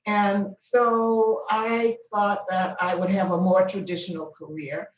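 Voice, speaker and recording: Older woman guest, measured and reflective, lo-fi muffled sound with faint hiss. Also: mid-range voice, flat delivery. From Boston, Massachusetts. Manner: thoughtful, many ums and restarts. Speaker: guest, business founder. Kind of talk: interview, recounting her career. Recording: distant, dull, echoey room with faint noise.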